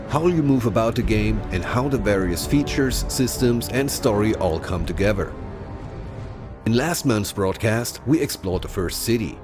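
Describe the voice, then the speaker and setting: A man presenting a game showcase in scripted, measured, explanatory promotional narration. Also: fairly low pitch. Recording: voice-over with clear music behind.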